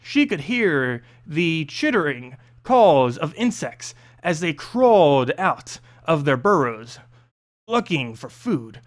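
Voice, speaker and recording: A man reading a fantasy story aloud as narrator, expressive, now and then stumbling over a word. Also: fairly high pitch. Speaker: host reading game lore story aloud.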